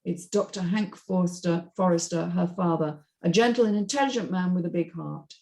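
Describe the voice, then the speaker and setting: A woman's voice: lowish voice, moderate pace, gravelly, mellow. A woman reading a screenplay's stage directions as scripted narration.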